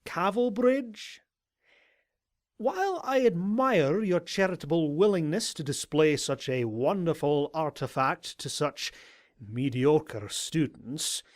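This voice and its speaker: Grizzled old stallion professor, haughty, sarcastic, indignant, swooping intonation, audio drama. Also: male voice, fairly high pitch. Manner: pompous, sarcastic, theatrical. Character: grizzled gray old male unicorn scholar, haughty and indignant. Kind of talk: animated audio drama character voice.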